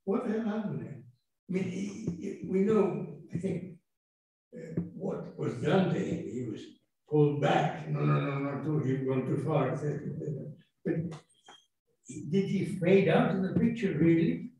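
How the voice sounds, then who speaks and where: A man in the audience, asking a long, rambling, halting question. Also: fairly high pitch, lively intonation, gravelly, mellow, a little hiss or hum. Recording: echoey hall, distant mic.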